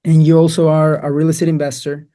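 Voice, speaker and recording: A man hosting an investing podcast, asking questions in a relaxed, encouraging way. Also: on the high side, fast. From immigrant to the US. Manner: encouraging, repeats praise. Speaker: podcast host. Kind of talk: casual interview hosting.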